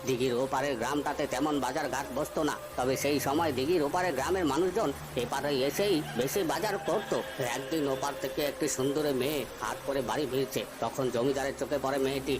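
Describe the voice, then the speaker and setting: A man's voice: mid-range voice, fairly even intonation, brisk, few pauses, noisy background, close to an everyday voice. A man playing a protective, superstitious old village fisherman in a cartoon ghost story, commanding and scolding as he talks. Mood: grave storytelling. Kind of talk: Bengali animated ghost story voice acting.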